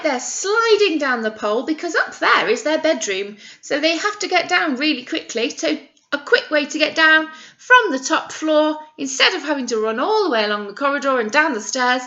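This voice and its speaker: Animated high-pitched British woman teaching young children. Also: dull audio. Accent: British English. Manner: animated, encouraging, exaggerated sing-song emphasis with frequent questions. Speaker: primary or nursery teacher reading a picture book to children. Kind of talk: interactive read-aloud lesson for young children.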